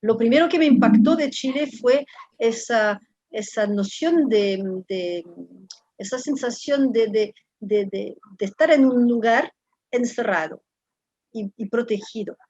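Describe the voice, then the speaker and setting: French-accented woman in her fifties, interview guest, fairly high voice, halting and reflective, dry muffled sound. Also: a little mellow, slightly rough, no echo. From France. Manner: thoughtful, halting, repeats words while searching.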